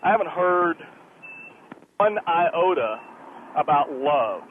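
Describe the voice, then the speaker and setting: Man, radio caller, slightly high voice, earnest, disapproving, measured wording, tinny noisy telephone line. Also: big pitch swings. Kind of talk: radio call-in debate.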